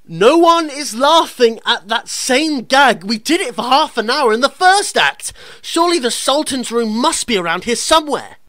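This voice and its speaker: A woman's voice: high-pitched, big pitch swings, normal speed, hardly any pauses, a bit bright, no echo, studio quality. A woman playing a brave, defiant young male hero in a radio pantomime, with earnest, declamatory delivery. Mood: exasperated. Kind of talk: pantomime radio play acting.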